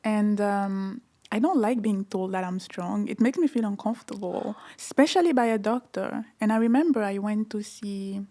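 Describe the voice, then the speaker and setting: A woman with a tiny, almost babyish voice, chatting casually on a podcast. She speaks at a medium pitch, earnestly and directly, and acts out what other people said to her. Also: moderate pace, mellow. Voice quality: small, childlike voice. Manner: earnest and direct, retells conversations with quoted speech. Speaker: podcast co-host. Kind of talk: casual chat.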